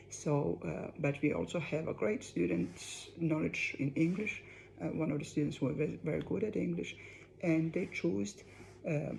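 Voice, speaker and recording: A man speaks in a very gravelly, creaky voice over faint room noise. He is a teacher presenting off the cuff, feeling his way through with halting, repeated phrases. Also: a little high, a little mellow, rough recording. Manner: halting, repetitive phrasing in non-native English. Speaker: teacher presenting student work.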